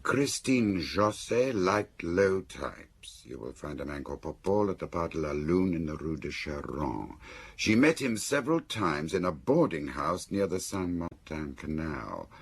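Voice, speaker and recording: An actor playing a detective's dry, probing confidant in a radio drama. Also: male voice, deep voice, sing-song, a little slow, vocal fry, husky, full character voice. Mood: dry reading. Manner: questioning, dry.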